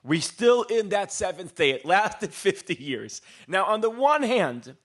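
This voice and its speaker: A man from Israel giving a live lecture as a storyteller, posing rhetorical questions and pausing for dramatic emphasis. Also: sits high, sing-song, a bit bright. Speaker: public speaker, lecturer.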